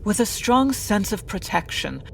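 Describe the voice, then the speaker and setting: A woman playing an earnest, grandiose narrator of an old-time radio adventure serial, dramatic, measured and a little pompous. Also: mid-range voice, very animated, gravelly, noisy background. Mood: grand, earnest.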